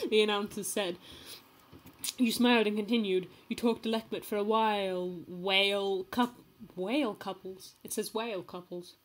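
A woman giving a comedic, mock-dramatic reading of fanfiction, playful and giggly, breaking off to comment. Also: mid-range voice. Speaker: fanfiction reader.

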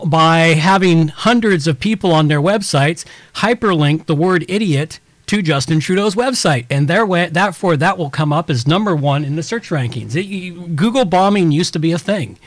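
A man's voice: on the high side, animated, normal speed, hardly any pauses, no room sound. A man hosting a tech podcast, explaining things casually in a rambling way with many restarts and everyday examples. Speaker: podcast host.

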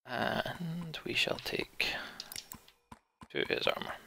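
Gravelly man muttering through game commentary. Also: a little high, sing-song, takes it slowly, pushed and bright, slight background noise. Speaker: let's play host. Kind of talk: casual game commentary, thinking aloud.

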